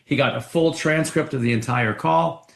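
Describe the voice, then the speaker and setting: A male executive talks through business updates in a conversational, salesy way. His mid-pitched voice has a gravelly fry, and he often doubles back on his words. The sound is roomy and echoing, like a conference call. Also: moderate pace. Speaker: company executive. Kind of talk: earnings call remarks, semi-spontaneous product pitch.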